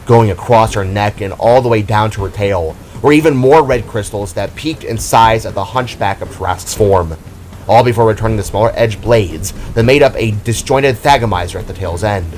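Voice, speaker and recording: A man's voice: fairly low pitch, moderate pace, darkish tone, slight background noise. A man giving dramatic, theatrical narration of a scripted fantasy story.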